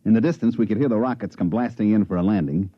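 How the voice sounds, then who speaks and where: A man's voice: on the low side, quick pace, no echo, natural voice. An American man playing a wry huckster who tells his own story colloquially in 1950s radio drama style. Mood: wry, satisfied. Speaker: narrator and lead actor. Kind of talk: 1950s American radio drama acting, first-person narration. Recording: muffled 1950s radio recording, music.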